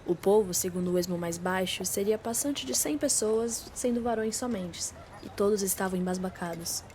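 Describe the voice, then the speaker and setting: A woman's voice: mid-range voice, normal speed, darkish tone. A young Brazilian woman reading scripted, old-fashioned literary narration for an amateur radio drama. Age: teenager or young adult. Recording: faint background noise.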